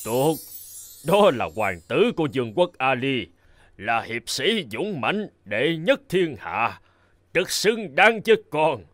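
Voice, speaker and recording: A man's voice: a little high, sing-song, slow pace, fairly bright tone, dry recording, exaggerated voice. An actor playing a proud, fatherly old king in a cartoon fairy tale, with a stately, declamatory manner. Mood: pleased, approving.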